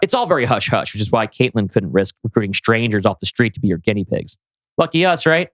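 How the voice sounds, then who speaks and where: A man's voice: fairly low pitch, sing-song, quick pace, no echo. A man reading the part of a breezy, slangy buddy in a science-fiction script, with a flippant manner. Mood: flippant explaining. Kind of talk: script table read.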